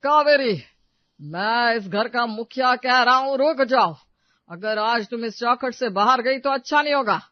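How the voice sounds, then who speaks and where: A man performs an elderly, domineering husband in a domestic story. His voice sits high and he scolds with indignation. The recording is close, dry and slightly dull. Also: lively intonation, measured pace, dry recording. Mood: angry, commanding. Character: stern, scolding old patriarch husband and father-in-law.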